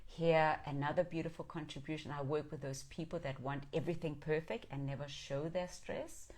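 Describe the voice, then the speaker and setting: A woman's voice: low voice, normal speed, a little mellow. A middle-aged woman from Denmark hosting a live stream, speaking in an earnest, affirming and reflective way as she talks with viewers. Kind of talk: reflective inspirational talk, reading and answering viewer comments.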